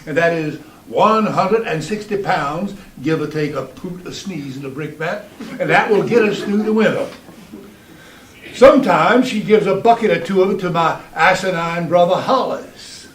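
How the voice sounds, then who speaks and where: A man's voice: high voice, normal speed, lightly acted. A man playing a bitter, sardonic Southern farmer from a fallen planter family in a stage monologue, with a dry, folksy drawl and a Southern US accent. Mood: grumbling, matter-of-fact. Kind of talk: theatrical monologue reading. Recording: roomy, distant microphone in hall.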